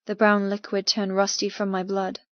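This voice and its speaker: A woman narrating first-person fiction as an audiobook, in a measured, dramatic manner. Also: mid-range voice, completely flat delivery, a bit bright, no room sound, dull audio.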